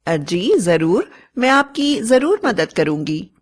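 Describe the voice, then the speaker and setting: A woman voicing a helpful, forthright young female college student in an animated story. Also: mid-range voice, takes it slowly.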